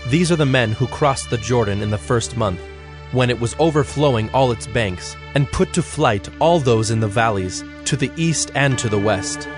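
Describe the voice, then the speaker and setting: A man narrating dramatized scripture in a solemn, measured, formal way. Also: mid-range voice. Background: music.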